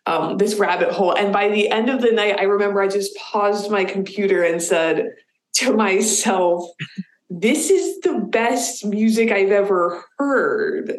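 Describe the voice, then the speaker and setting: A woman's voice: medium pitch, unhurried. A woman from the Boston area, a comedian guest chatting casually in an interview, telling stories with lots of "like"s. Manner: chatty storyteller, many "like"s. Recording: roomy echo.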